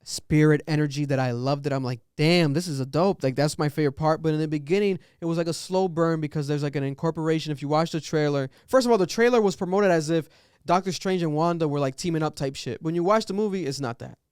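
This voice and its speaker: Podcast co-host, male, fairly high voice, smooth tone; loose, joking, animated talk. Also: no echo, clean studio sound. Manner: animated, laughing, quick asides. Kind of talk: casual podcast chat.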